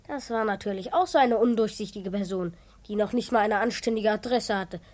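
A woman's voice: medium pitch, brisk, darkish tone, lightly acted. A woman playing an eager, self-important twelve-year-old boy detective in a children's radio play, earnest and easily indignant. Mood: secretive narrating. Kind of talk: children's radio play acting and first-person narration.

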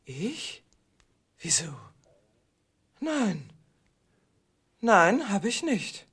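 An actress playing an anxious, deceitful middle-aged wife in a radio drama, breathless and agitated. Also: female voice, medium pitch, sing-song, drawn-out words, a bit bright, slightly rough, full character voice. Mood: feigned innocence.

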